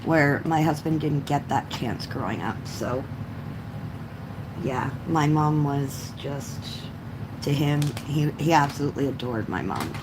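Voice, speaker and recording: A middle-aged woman from Canada chatting casually on a livestream in a halting, rambling way, often clearing her throat. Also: low-pitched, animated, noisy background. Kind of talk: casual rambling chat while coloring.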